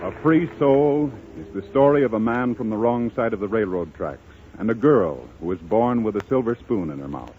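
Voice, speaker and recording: Animated American man announcing on tinny old radio. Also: on the low side, moderate pace, noisy background. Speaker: announcer and narrator. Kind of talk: scripted 1930s radio narration and sponsor announcing. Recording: tinny muffled 1930s radio broadcast.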